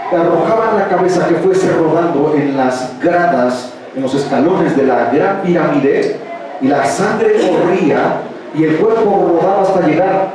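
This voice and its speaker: A man's voice: on the high side, background noise. A Mexican man with a Mexican Spanish accent, preaching a sermon in church and firing rhetorical questions at the congregation. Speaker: pastor. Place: large church hall with congregation. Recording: echoey hall, distant mic, congregation audible.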